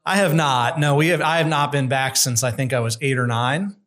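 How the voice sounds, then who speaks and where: A middle-aged man, a construction executive, speaking in a measured, candid and thoughtful way as a guest in an interview. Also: medium pitch, steady tone, a bit bright. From United States. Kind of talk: conversational interview.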